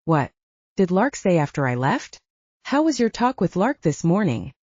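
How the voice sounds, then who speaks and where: A woman's voice: fairly low pitch, sing-song, moderate pace, a little mellow, no room sound, highs cut off. A woman voicing a smitten young man in a romance audiobook, teasing and affectionate. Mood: tentative.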